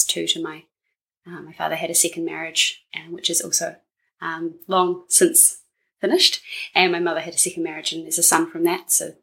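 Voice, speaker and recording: A woman talks easily as a podcast guest in her living room, full of ums. Her voice is low for a woman and has a gravelly fry. Its tone is bright and edged. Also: poor audio. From New Zealand. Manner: chatty and reflective, with frequent ums. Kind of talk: casual interview chat.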